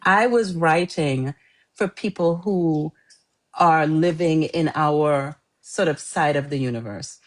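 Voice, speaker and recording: A woman's voice: deep voice, slow. A middle-aged woman from Trinidad, an author chatting as a guest in an interview, talkative and laughing often. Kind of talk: casual interview chat.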